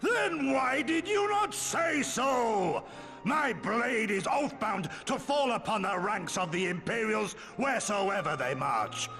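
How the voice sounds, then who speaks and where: An actor playing a huge, proud, aging samurai retainer in a fantasy game, with a booming gravelly voice and grandiloquent archaic speech. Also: male voice, expressive, slow pace, pushed and bright, exaggerated voice. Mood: rousing. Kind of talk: theatrical fantasy game acting. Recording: reverberant hall, music underneath.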